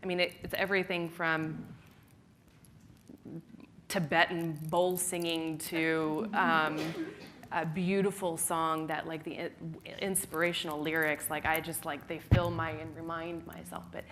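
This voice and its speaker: A woman answering questions on a live panel, animated and self-described as hot-headed and fiery. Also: low voice, a little slow, a little hiss or hum.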